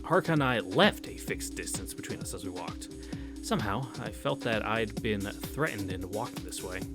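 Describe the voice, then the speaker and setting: A man's voice: on the low side, animated, moderate pace. Man reading a game aloud over music. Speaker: visual novel read-through host. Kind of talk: reading game narration aloud with casual asides.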